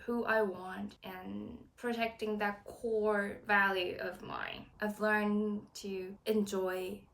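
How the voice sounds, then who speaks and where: A woman's voice: medium pitch, level tone, slow, gravelly, a bit bright. Earnest woman vlogger from Taiwan. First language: Chinese. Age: 20s. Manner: earnest, encouraging, chatty. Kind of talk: casual talk to camera.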